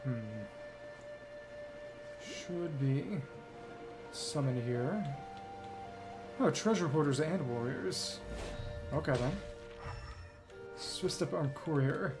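A man's voice: on the high side, animated, drawn-out words, pauses often, music in the background. A man streaming a video game, giving casual live commentary in short fragments, muttered to himself.

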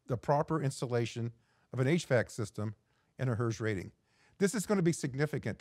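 A middle-aged man giving a prepared keynote as an executive director, measured and reading from slides with occasional restarts. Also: medium pitch, darkish tone, no echo, clean studio sound. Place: indoor studio in front of a backdrop.

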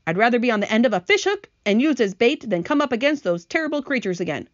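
A gloomy, fearful earthworm from a children's story, acted by a woman. He scolds bitterly and frets dramatically, with raised pitch, on a slightly dull recording. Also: sing-song, quick pace, no room sound. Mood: panicked despair.

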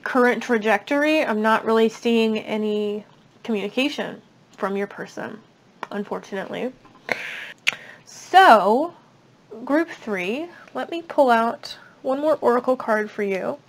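A young woman giving a casual tarot reading at a table, chatty and reassuring, her voice slightly raspy. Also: a little high. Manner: chatty, reassuring, many fillers. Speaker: tarot reader. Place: indoors at a table.

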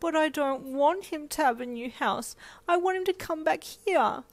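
A woman voicing a tearful four-year-old child who pleads in a high, wailing voice. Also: lively intonation, normal speed, no echo. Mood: distraught.

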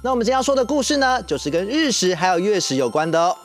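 Very animated young Taiwanese man, children's host. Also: sits high, racing, soft background music. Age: young adult. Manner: expressive, questioning. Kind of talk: storytelling for children. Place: TV studio set.

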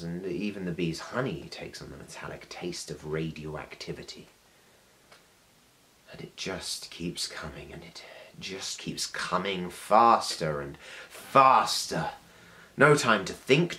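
A man's voice: low-pitched, a bit bright, pitched below the performer's own voice. Man acting sardonic, menacing devil, hissy recording. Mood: raging. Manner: dramatic, swinging from whispers to raging outbursts. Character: sardonic, menacing male devil preaching with contempt for humanity. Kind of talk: theatrical film monologue. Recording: noticeable background hiss.

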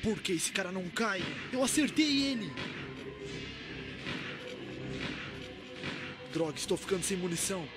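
A man's voice: high-pitched, lively intonation, quick pace. A man with a Brazilian accent voicing a young, alert, commanding rookie policeman in a video game fan dub. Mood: frustrated. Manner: urgent, commanding. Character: young rookie policeman, alert, urgent, decent, zombie survivor. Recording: loud music behind the voice. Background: loud game music.

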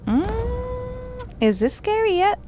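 A woman's voice: very high-pitched, very animated, takes it slowly, noisy background. A young woman giving casual reaction commentary in a small studio, over game music. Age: young adult. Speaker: reaction host. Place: small indoor studio, in headphones.

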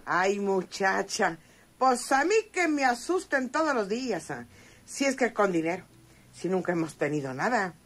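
A woman's voice: mid-range voice, sing-song, normal speed, fairly bright tone, breathy edge. An actress playing a sly, folksy older country woman with a rural northern Mexican accent in a radio serial. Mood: coy, chatty. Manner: folksy, teasing rustic speech. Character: sly, plain-spoken older country woman, newly dressed up.

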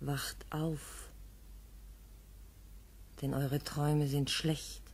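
Male interlude voice, husky, slow, urgent warning tone, 1950s radio. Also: a little high, little pitch movement. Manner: urgent, warning, half-whispered. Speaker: reader of interlude texts. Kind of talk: scripted poetic narration in a 1950s radio play.

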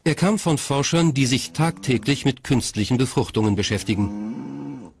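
A man's voice: medium pitch, normal speed, creaky. A man reading scripted documentary narration in the role of narrator.

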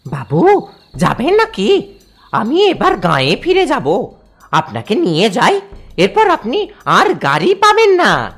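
A man voicing an eerie village bullock-cart driver, coaxing and servile in drawn-out phrases, for a horror cartoon. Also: very high voice, huge pitch swings, fairly bright tone, slightly rough, soft background music, voice pushed up, exaggerated voice.

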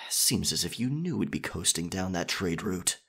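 Man acting a husky, defiant pirate captain. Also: sits low, animated, fairly bright tone, heavily acted. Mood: sullen, questioning. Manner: slow, theatrical, archaic pirate phrasing. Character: captured, proud, defiant male pirate captain. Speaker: ASMR roleplay voice actor. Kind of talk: pirate roleplay ASMR acting.

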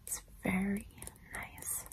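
A young woman whispering softly and gently in a casual, unhurried ASMR style as she presents things close to the mic. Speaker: ASMR video host. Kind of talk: soft-spoken whispered ASMR product show-and-tell.

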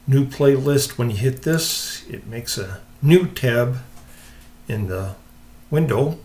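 A man hosting a casual software walkthrough, explaining step by step in an informal, unhurried way. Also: medium pitch, vocal fry. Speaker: software tutorial host.

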